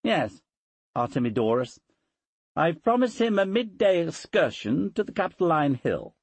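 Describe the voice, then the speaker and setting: A man voicing a proud, sharp-tongued Roman noblewoman in an audiobook, brisk and self-assured. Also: a little high, very animated, no room sound, voice pushed up. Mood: poised, fond. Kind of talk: audiobook character dialogue.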